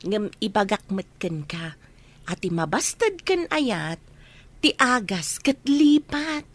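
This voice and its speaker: A woman's voice: medium pitch, sing-song, slow pace. A woman acting in a radio drama as an outspoken, fretful village woman who nags and advises. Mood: teasing, advising.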